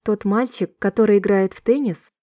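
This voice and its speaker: A woman reading short sentences slowly and clearly for a language-listening drill, repeating each one in a measured, even way. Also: medium pitch, dry recording, clean studio sound. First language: Russian. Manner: clear, measured, evenly paced repetition. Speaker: Russian sentence reader.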